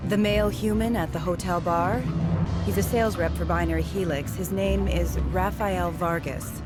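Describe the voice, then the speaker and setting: A woman playing a polite, scheming alien agent in a video game, speaking with deliberate persuasion. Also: lowish voice, over loud music, lightly acted. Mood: persuasive. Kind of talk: video game cutscene dialogue.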